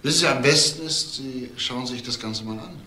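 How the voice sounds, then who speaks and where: An actor playing a composed, grave male police detective in a crime drama, speaking in a measured, understated way. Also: medium pitch, sharp tone. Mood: matter-of-fact, probing. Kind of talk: screen crime drama dialogue. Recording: distant mic, noisy background.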